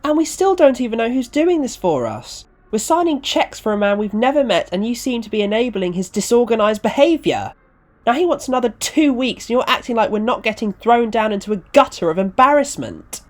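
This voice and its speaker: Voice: medium pitch, animated, a little fast, few pauses, darkish tone, voice pushed up, close to an everyday voice. A storyteller voicing an irate, stern, indignant event organiser in acted story dialogue.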